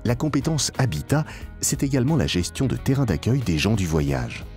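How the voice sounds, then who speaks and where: A man reading a scripted promotional documentary narration in a formal, measured way, with emphatic phrasing. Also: mid-range voice, very animated, darkish tone. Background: background music.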